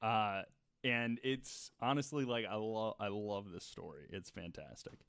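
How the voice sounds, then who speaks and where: Guy on a podcast telling a story, smooth clear voice, super dry sound, casual and jokey. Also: on the low side, a little slow, fairly bright tone, no echo. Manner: jokey, laughs while talking. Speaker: podcast co-host telling a true crime story.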